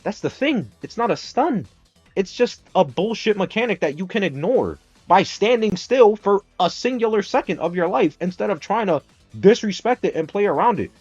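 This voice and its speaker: A man's voice: high voice, normal speed, slightly rough, dry recording. A man hosting a gaming stream, arguing tier picks in a casual, profane, heated way. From Dominican Republic. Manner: animated, profane, argumentative ranting. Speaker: streamer host. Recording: slightly dull stream audio with music.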